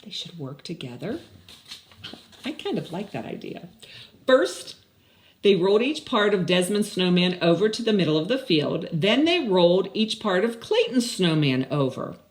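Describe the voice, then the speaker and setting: A woman's voice: lowish voice, big pitch swings, normal speed. An older woman hosting story time for children, reading and chatting expressively with questions for young listeners. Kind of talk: picture-book read-aloud and chatting to children. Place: small indoor room with blinds.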